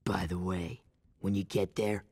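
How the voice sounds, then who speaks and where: A gruff rebel boss from a video game with a very low, husky voice. He gives orders in short, curt sentences and sounds scornful of the people he sends out. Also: flat delivery, a little slow, darkish tone, dry recording, studio quality, exaggerated voice. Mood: commanding. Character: gruff male rebel leader giving orders. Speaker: game character.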